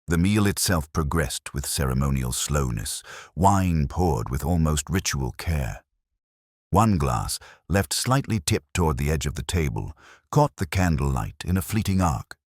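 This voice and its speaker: A man narrating a detective story in the first person, in a measured, formal and reflective way. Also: a bass voice, animated, dry recording, studio quality.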